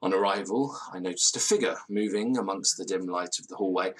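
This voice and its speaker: An actor playing a pompous, excitable gentleman who speaks in florid, theatrical language in an audio drama. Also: sits low, brisk. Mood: grandly narrating. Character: pompous, excitable Victorian-style gentleman storyteller. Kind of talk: dramatic first-person storytelling in audio drama.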